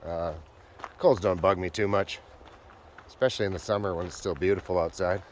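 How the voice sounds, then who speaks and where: A man's voice: deep voice, a little fast, a little hiss or hum. A young man vlogging on a backpacking trip, talking casually with many fillers, his voice nasal from a cold and a sore throat. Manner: relaxed, rambling, many uh fillers. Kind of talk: casual vlog talk to camera. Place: outdoors on a hiking trail.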